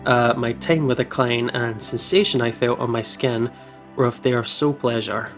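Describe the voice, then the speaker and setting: A man's voice: mid-range voice, fairly even intonation, moderate pace, faint music behind. A man hosting a let's play, casually commenting and reading visual novel text aloud in an informal, jokey way. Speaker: video game let's play host.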